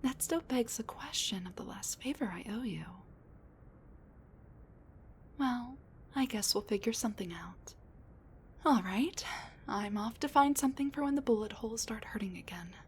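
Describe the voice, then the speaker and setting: Woman acting a sassy, high-voiced female supervillain. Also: expressive, moderate pace, a little mellow, slight background noise. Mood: playful flirting. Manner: sassy, rambling, breathy asides and sighs. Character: sassy wounded female supervillain, flirty, rambling, soft underneath. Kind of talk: roleplay audio drama, spoken intimately to the listener.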